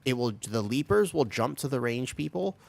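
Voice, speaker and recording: A man streaming a video game live, chatting with viewers and explaining tactics while he plays, his voice still raspy from being sick. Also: mid-range voice, expressive, moderate pace. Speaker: streamer playing a tank. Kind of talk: live gameplay commentary.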